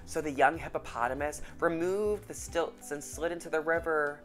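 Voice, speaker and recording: A woman reading a children's storybook aloud in an animated storytelling style. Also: deep voice, normal speed, faint music behind.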